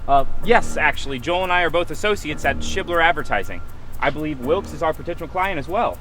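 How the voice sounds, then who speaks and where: Guy acting flustered young ad exec in a film comedy, highish voice that jumps all over, competitive. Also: animated, a little fast, pushed and bright, faint music behind. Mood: awkward, polite. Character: flustered, competitive young advertising associate, man. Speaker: actor in a short film.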